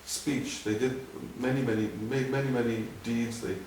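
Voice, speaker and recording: A middle-aged man with a Dublin Irish accent, answering interview questions in a halting way, with many restarts. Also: medium pitch, fairly even intonation, darkish tone, a little hiss or hum. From Ireland. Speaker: guest, doctor. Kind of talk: conversational interview. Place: museum room. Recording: echoey room, from a distance.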